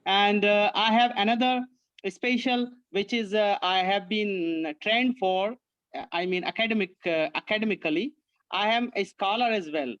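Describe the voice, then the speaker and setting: High-voiced Indian man on a call. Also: fairly bright tone, smooth. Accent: Indian English. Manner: earnest, halting with many fillers and restarts. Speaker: guest, online English teacher. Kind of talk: casual video-call chat. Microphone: video call. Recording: slightly dull, lo-fi call audio.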